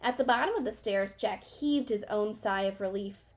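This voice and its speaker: Young woman teacher reading to kids; slightly gravelly, explains as she goes. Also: medium pitch. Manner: pauses to ask questions and explain. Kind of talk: reading a children's book aloud.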